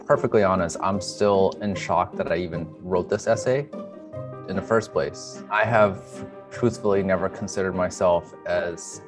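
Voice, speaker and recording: A young man with a fairly low voice shares a personal account. He is earnest and reflective, choosing words with care. Instrumental music plays clearly under his speech. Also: normal speed. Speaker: emergency physician, interview guest.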